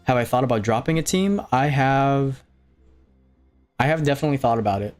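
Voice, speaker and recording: A man with a slight Hawaiian accent, talking loosely to viewers during a live stream. His voice sits at an ordinary middle pitch and he wanders through his thoughts with lots of ums and restarts. Faint music plays underneath. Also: a little fast, a little mellow. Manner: rambling, many fillers and restarts, reading chat aloud. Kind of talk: casual live stream chat.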